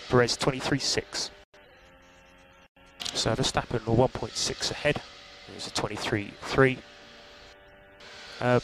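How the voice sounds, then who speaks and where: A man working as a race engineer, calmly reading out gaps and lap times over team radio. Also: medium pitch. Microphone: headset radio. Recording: noisy team radio line.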